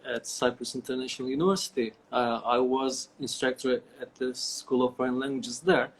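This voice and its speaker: A man's voice: medium pitch, fairly even intonation, moderate pace, slight background noise. A middle-aged man with a Turkish accent, answering interview questions over a video call, with many fillers and restarts. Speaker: guest. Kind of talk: online interview.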